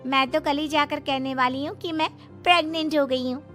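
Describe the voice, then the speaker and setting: Woman doing a grumbling young cartoon wife, high voice, whiny, animated, music in the background. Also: fairly quick. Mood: eager, teasing. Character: young married daughters grumbling about lazy husbands. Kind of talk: cartoon story voice acting.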